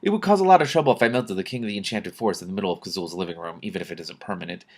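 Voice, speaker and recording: A man's voice: low-pitched, rapid-fire. A man voicing a practical, matter-of-fact princess with a dry, wry manner in a storybook reading. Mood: earnest.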